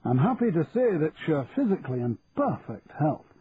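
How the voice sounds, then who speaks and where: A man voicing a cheerful, courteous doctor with a soft Scottish accent in a story reading. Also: a little high, big pitch swings, moderate pace, phone-quality sound, slight background noise, pitched above the performer's own voice. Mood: cheerful, congratulatory.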